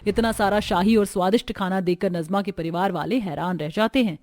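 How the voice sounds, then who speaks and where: A woman reading scripted narration for an animated family story, in a storytelling style. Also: medium pitch, fairly quick.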